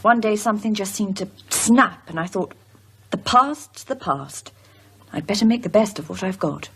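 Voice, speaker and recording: A woman's voice: mid-range voice, normal speed, slightly rough, poor audio. A woman playing an anxious, solemn widow haunted by a curse in an audio horror drama.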